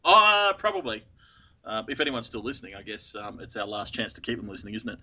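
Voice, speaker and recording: A man's voice: lowish voice, very animated, fast talker, very noisy. An Australian man co-hosting a casual podcast, joking irreverently and swearing freely. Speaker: podcast co-host. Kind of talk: casual chat.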